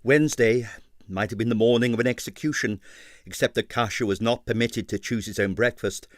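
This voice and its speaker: A man reading an abridged novel aloud with expressive narration. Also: mid-range voice, a little fast, no room sound, studio quality. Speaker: audiobook reader.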